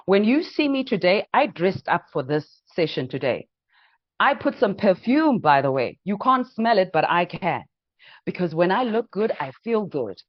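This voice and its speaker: A woman's voice: on the low side, sing-song, normal speed, no room sound, highs cut off. Emphatic woman, motivational coach. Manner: emphatic, builds points in lists and rhetorical questions. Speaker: personal branding coach. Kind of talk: motivational talk.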